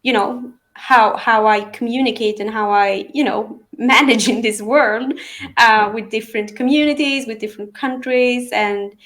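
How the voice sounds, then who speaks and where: A woman from Sweden, whose first languages are Aramean and Arabic, talking through her path in real estate in a relaxed interview with frequent fillers and restarts. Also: on the high side, a little slow. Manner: thoughtful, many fillers and restarts. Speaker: real estate agent, guest. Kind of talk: casual interview conversation.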